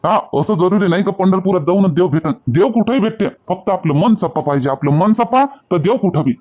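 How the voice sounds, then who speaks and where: A man's voice: high-pitched, expressive, fairly quick, lo-fi sound. A man voicing a devout, cheerful village man in a cartoon, with a rural Vidarbha Marathi accent and a homely, repetitive preaching manner. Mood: content, preaching. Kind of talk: amateur cartoon dialogue.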